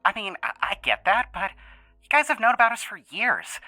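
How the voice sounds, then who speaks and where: Man acting as easygoing interviewee, raised lively pitch, cheeky stammer, audio drama, dry recording. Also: brisk, dry recording, soft background music, full character voice. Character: easygoing, chatty male interviewee, loyal boyfriend and programmer.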